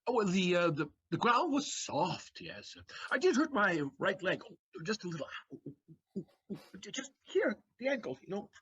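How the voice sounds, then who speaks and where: A man plays a sly, quick-witted servant in a period comedy. The high voice swoops expressively and has a slight huskiness. Delivery is theatrical, with stammered evasions, and the recording is a little dull. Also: wildly swooping pitch, a bit bright, husky, full character voice. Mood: nervous bluffing. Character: quick-witted, cheeky manservant bluffing his master. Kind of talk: comic period stage acting.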